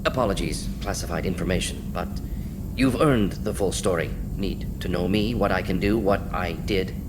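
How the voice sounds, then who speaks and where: An actor playing a brainy, clinical alien scientist in a video game, speaking in clipped telegraphic sentences. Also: male voice, low-pitched, moderate pace, background noise, natural voice. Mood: confessional, clinical. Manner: clipped telegraphic sentences, dropping small words.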